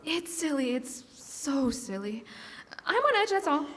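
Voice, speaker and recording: A woman acting high-voiced nervous radio-play wife. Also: sing-song, measured pace, fairly bright tone, full character voice. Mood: jumpy, evasive. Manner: jittery, repeating herself. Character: nervous suburban wife and mother, evasive, on edge. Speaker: actress in a live stage radio play. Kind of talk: classic radio drama acting. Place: on stage in a school hall. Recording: echoey stage hall, music behind.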